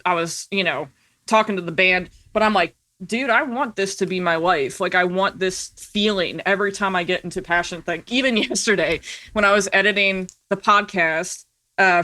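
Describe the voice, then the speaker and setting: Bright-voiced woman chatting casually. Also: fairly low pitch, normal speed. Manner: informal, peppered with "like" and "you know". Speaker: podcast guest, indie producer. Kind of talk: casual chat, storytelling.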